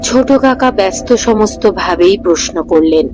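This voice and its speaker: A woman hosting and reading a ghost story aloud in a measured, suspenseful way. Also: medium pitch, expressive. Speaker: storyteller host. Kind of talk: scripted ghost story narration. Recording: narration over background music. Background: background music.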